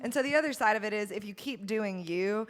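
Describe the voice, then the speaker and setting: A woman singer with a deep, smoky voice, talking in a rambling, self-correcting way in casual chat over drinks. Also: a little fast, long reverb tail. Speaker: singer, guest.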